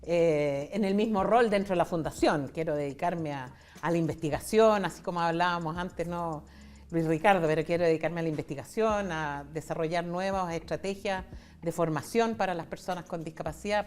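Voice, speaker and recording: Interview guest: Chilean woman in her 60s, slightly low voice, candid personal storyteller. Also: fairly quick, a little mellow. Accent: Chilean Spanish. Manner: candid, conversational, retells dialogue. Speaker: interview guest, foundation founder.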